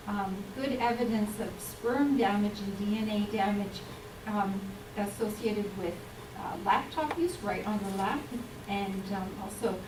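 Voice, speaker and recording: A woman giving an earnest, explanatory public health talk, with frequent pauses and ums. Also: mid-range voice, noisy background. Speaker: health speaker. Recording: roomy hall mic from a distance.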